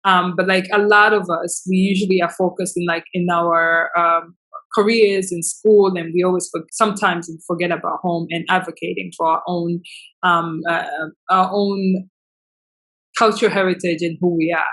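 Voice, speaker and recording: A woman's voice: on the low side, little pitch movement, moderate pace, fairly bright tone, poor audio. Rambling woman from Tanzania. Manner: rambling, many fillers and restarts. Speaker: business founder, interview guest. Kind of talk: casual interview talk.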